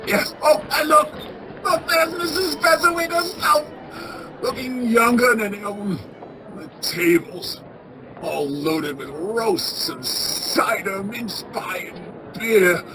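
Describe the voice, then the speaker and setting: A woman playing an anxious, sentimental old male miser in a radio play, stammering and halting with emotion. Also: a little high, noisy background. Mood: joyful, wistful.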